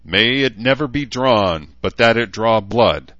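A man's voice: mid-range voice, expressive, measured pace, a bit bright, no echo, lo-fi sound, voice pushed up. Man playing a commanding, solemn military leader, raised voice. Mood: solemn, ceremonial. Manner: ceremonial, projected, barking orders.

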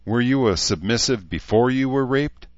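A man's voice: medium pitch, animated, moderate pace, no echo, dull audio, voice pushed up, lightly acted. A man voicing a blunt, wise but caring elf woman who talks in clipped, broken phrases, in an audiobook story. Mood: frank, advising.

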